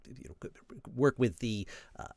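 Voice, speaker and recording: A man's voice: mid-range voice, animated, unhurried, vocal fry, no room sound, studio quality. A man playing a fussy, fretful corporal who stammers hesitantly and officiously about proper procedure in an improvised game. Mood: politely delegating.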